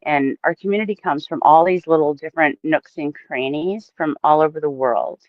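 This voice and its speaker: A woman's voice: deep voice, normal speed, no room sound. A woman in casual, playful banter as a guest on a stream, over a slightly lo-fi voice call.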